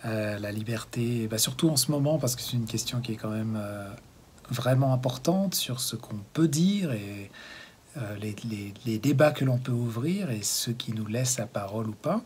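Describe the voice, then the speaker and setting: A man hosting a community television program, talking loosely and haltingly with frequent pauses and restarts. His pitch sits in a middle range, and a faint noise runs underneath. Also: expressive, a little mellow. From France, Haute-Savoie. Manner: hesitant, many fillers and restarts. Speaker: host. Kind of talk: casual show introduction.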